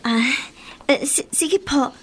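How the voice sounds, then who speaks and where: A woman's voice: high-pitched, takes it slowly, fairly bright tone. A woman playing a meek, polite teenage housemaid in a radio drama, speaking hesitantly and deferentially. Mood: meek, puzzled.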